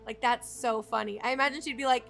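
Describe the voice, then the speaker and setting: A woman's voice: a little high, steady tone, fast, creaky, a bit bright. A woman game streamer giving casual, chatty live commentary and talking back to the characters. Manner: chatty, reacts to the dialogue as if in it. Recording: game music behind the voice. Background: video game music.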